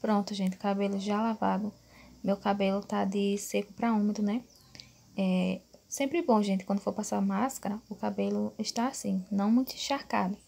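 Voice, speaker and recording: A woman's voice: medium pitch, fairly even intonation, moderate pace, vocal fry, warm tone. A woman in her thirties from Brazil, talking viewers through her hair-care routine in a chatty, casual way, often asking for agreement. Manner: chatty, addresses viewers as "gente", many fillers like "né". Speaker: beauty vlogger. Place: small bedroom at home, indoors.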